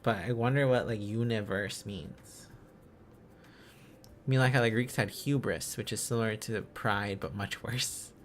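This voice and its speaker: A man chatting casually as a livestream host, musing aloud in halting, repeated phrases. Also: on the low side, slight background noise. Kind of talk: casual livestream chat while learning a language.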